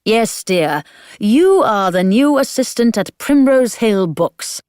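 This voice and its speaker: A woman's voice: fairly high pitch, lively intonation, takes it slowly, darkish tone, clean tone, no echo, studio quality, voice pushed up. Genteel older lady, as a woman reads her. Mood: fond. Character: older woman, kindly, proud and romantic.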